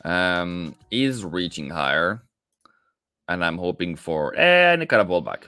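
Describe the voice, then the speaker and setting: A man's voice: sits low, very animated, measured pace, bright, forceful tone, clean tone, dry recording. A man hosting a live trading stream, talking casually and answering viewers directly as he works through the charts. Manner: casual, chatty, answers viewers directly, calls them mate. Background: faint background music.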